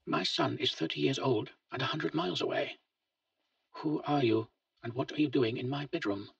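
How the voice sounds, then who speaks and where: Brief: male reader as a weary, blunt older father, husky, soft-spoken, distant lo-fi take. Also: mid-range voice, moderate pace, no echo, pitched above the performer's own voice. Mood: wary. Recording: dull lo-fi, distant mic.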